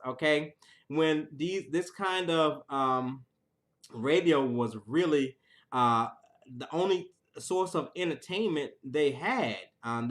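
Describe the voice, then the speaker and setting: A man speaking as a theater teacher, informally introducing a student show and haltingly searching for words. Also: on the high side, a bit bright.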